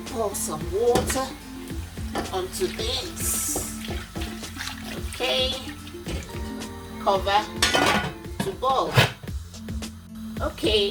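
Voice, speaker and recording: A Nigerian woman with a Nigerian accent chats slowly through her recipe, calling her viewers "guys" and filling pauses with ums. Her voice sits a little low, picked up on a phone mic in a home kitchen. Loud background music plays under her the whole time. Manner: chatty, addresses viewers as guys, many fillers. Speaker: cooking channel host. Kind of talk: step-by-step cooking talk-through.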